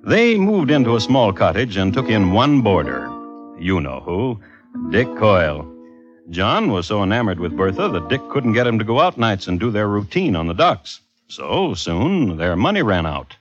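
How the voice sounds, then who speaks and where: A man's voice: fairly low pitch, big pitch swings, normal speed, music in the background. A man narrating an old-time radio drama as a wry storyteller with dry humour. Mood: wry storytelling. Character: wry male storyteller of a crime tale. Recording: dull vintage radio recording.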